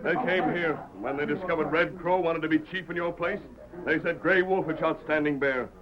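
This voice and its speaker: An actor playing an earnest, authoritative American masked hero in a measured 1930s radio western style. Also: male voice, on the high side, steady tone, over a noisy crowd, lightly acted. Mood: firm, accusing. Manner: measured, declarative explaining. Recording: muffled, faintly noisy 1930s radio recording.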